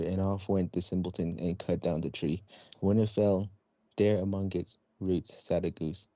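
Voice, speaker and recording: A man reading a children's story aloud in a somewhat halting way. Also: deep voice, warm tone, dry recording. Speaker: storybook reader. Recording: dull, lo-fi close recording.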